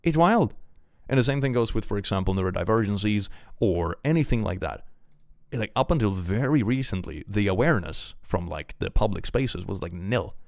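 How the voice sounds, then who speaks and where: A man's voice: lowish voice, animated, moderate pace, creaky, no room sound, studio quality. A man reading out and reacting to viewer chat in a casual stream, chuckling often and trailing off with fillers. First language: German.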